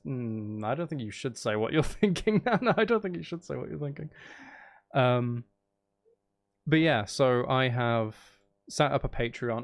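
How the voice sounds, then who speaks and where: A man hosting a live game stream, chatting casually and playfully to his viewers and to himself. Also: mid-range voice. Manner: playful, talks to chat and to himself. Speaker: streamer and channel host.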